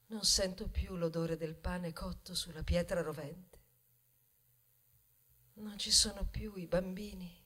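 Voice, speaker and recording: An actress playing a tender, grieving mother corn cob in a poetic theatrical monologue, moving between hushed and impassioned delivery. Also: mid-range voice. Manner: slow dramatic delivery, swinging from hushed to impassioned. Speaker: stage actress.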